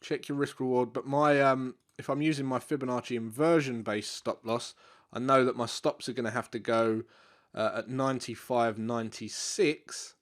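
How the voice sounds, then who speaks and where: A man's voice: mid-range voice, moderate pace. Stuffy-nosed man explaining charts. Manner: relaxed, repeats himself, many fillers. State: congested, stuffy nose. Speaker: forex trading educator. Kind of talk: live market analysis walkthrough.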